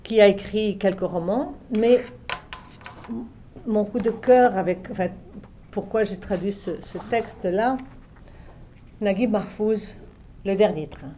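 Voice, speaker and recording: An older woman from Egypt, raised on Arabic and French alike, talks about her life as a translator. Her voice is dark and mellow, and she muses, circles back and repeats herself as the memories come. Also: medium pitch, takes it slowly, pauses often, a little hiss or hum. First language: Arabic and French, both native. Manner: reflective, many restarts and soft trailing phrases. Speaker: literary translator. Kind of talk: relaxed spoken recollection.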